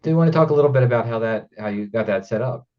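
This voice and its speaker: A man hosting a conversational interview, speaking in a hesitant, halting way. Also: medium pitch, highs cut off. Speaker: interview host, independent filmmaker.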